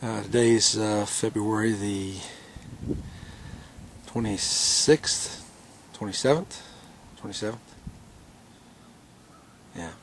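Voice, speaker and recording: A man with an American accent casually narrating his outing on a tidal creek, halting often with "uh", recorded outdoors on a handheld camera mic. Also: on the low side, gravelly, slight background noise. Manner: relaxed, halting, many "uh" fillers.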